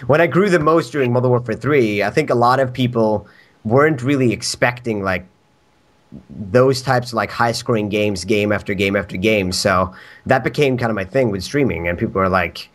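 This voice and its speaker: A man's voice: mid-range voice, normal speed. A man from Sweden with a Swedish accent, a podcast guest chatting casually, rambling, laughing often and swearing.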